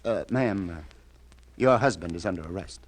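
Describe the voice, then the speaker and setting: An actor playing an awkward, apologetic police lieutenant in a 1950s radio crime drama, with a hesitant, stammering delivery. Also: male voice, low-pitched, expressive, normal speed. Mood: flustered. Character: awkward, apologetic police detective lieutenant breaking bad news.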